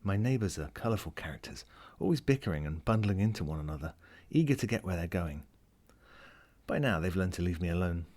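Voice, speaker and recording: A man's voice: low-pitched, lively intonation, a little fast, a little mellow. A man voicing a lonely, wry lost ring as he reads a first-person short story in a dramatic, melancholic way. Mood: gloomy, resigned. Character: lonely, world-weary, wry sentient ring lost at sea.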